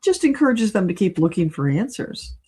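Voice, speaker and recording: A woman's voice: medium pitch, very animated, fairly quick, mellow, breathy edge. A woman speaking as a guest in a casual conversational interview. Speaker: guest, spiritual channeler and reader.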